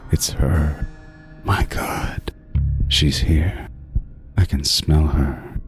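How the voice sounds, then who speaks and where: An actor playing an obsessive male serial killer, narrating his hunt in a brooding, deliberate way for horror audio fiction. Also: extremely low pitch, steady tone, soft background music, full character voice. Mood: excited, hungry.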